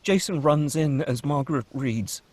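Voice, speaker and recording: A man reading out stage directions at a table read with a steady, descriptive delivery. His voice sits a little high and has a husky edge. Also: moderate pace, dry recording.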